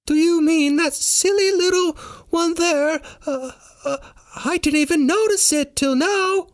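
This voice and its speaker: A man's voice: extremely high pitch, a little slow, dry recording, clean studio sound, pitched above the performer's own voice. A man voicing a nervous woman who bluffs in a muddled, fake foreign accent, stammering and overeager, in an audiobook. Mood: flustered bluffing. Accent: fake, muddled, untraceable foreign. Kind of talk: first-person audiobook dialogue.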